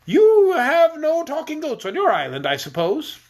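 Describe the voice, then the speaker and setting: A reader putting on a plump, merry old king in a storybook, with a high, bubbly voice that swoops up and down as he chatters. He talks on and on, ready to break into giggles. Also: wildly swooping pitch, measured pace, darkish tone, heavily acted. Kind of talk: audiobook character voice.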